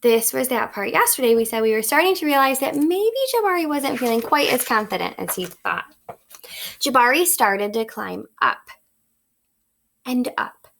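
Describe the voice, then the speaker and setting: A woman teacher reading a picture book aloud to children, expressive and instructive, pausing to ask questions. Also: on the high side, vocal fry. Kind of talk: read-aloud lesson, storytelling with questions to children.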